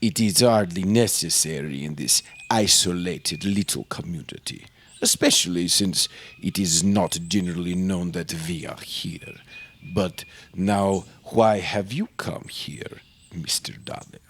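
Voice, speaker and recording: A man playing a secretive, haughty older scientist in an old-time radio drama, speaking formally and haltingly with theatrical intensity. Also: low voice, a bit bright, slightly rough, full character voice. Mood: guarded, formal.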